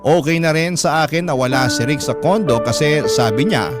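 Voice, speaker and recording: A man tells a dramatic first-person story as a radio drama narrator. His voice is a little higher than average, with a confiding, emotive delivery. Music plays loudly behind him. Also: normal speed. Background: prominent music.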